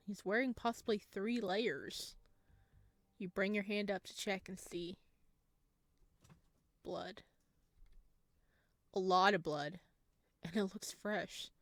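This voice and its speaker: A woman playing through a visual novel, reading the text aloud and adding animated, laughing comments. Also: mid-range voice, takes it slowly, pauses often, no room sound. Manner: animated, laughing asides between hushed narration. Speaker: game streamer.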